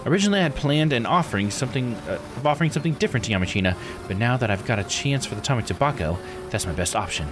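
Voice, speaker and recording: A man's voice: mid-range voice, expressive, very fast, over music, natural voice. A man voicing a resentful, haughty young woman, a scorned noble-born island diver, reading visual novel dialogue bluntly. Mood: resigned, confiding. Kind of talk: visual novel character read-aloud.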